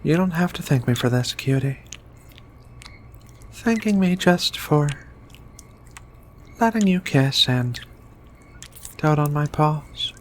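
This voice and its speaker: A woman playing a shy, flirty, affectionate alien in a soft-spoken, hesitant ASMR roleplay. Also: deep voice, animated, a little hiss or hum. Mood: tender, pleased. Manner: hesitant, bashful, murmuring with many pauses. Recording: quiet music behind the voice.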